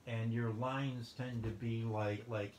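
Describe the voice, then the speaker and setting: A man's voice: mid-range voice, little pitch movement, slow pace, darkish tone. Chatty male interviewer, stumbling starts, echoey room with faint background hum. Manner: chatty, repeats words, laughs often. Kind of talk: casual interview.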